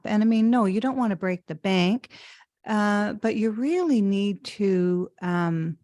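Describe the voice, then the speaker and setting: Woman talk-show host, warm, mellow voice, affirming and enthusiastic. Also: mid-range voice, no echo, clean studio sound. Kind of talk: conversational interview.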